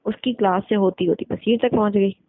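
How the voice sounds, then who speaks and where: A woman's voice: mid-range voice, steady tone, fairly quick, no room sound. A young woman in casual, blunt conversation over a phone line, retelling events and quoting people. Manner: colloquial, blunt, quotes people as she retells. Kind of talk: casual chat, recounting school-days gossip. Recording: narrow dry phone-call recording.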